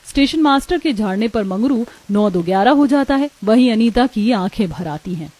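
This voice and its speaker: Woman doing story narration, very mellow tone, scripted. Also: on the high side, animated, normal speed.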